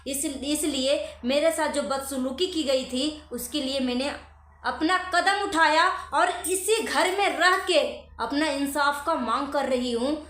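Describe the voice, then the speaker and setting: A woman's voice: sits high, unhurried, fairly bright tone, echoey room. A woman vlogger giving earnest, emphatic, repetitive commentary addressed straight to her viewers.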